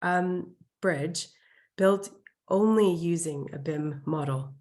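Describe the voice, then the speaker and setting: Brief: woman moderator on a video call, slightly low voice, polite, a few fillers. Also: takes it slowly, darkish tone. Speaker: webinar moderator. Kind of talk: introducing a speaker.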